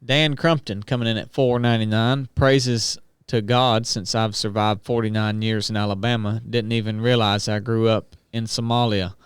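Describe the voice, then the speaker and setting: A man co-hosting a casual podcast, reading donor names and messages aloud in a steady list. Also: fairly low pitch, normal speed, hardly any pauses, slight background noise. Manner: reads out super chat names and amounts in a steady list.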